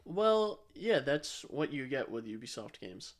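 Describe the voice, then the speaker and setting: A man with a medium-pitched voice talks as a podcast co-host. His delivery is casual and conversational, and he tends to repeat phrases to underline what he means. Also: sing-song, unhurried. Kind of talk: casual chat about video games.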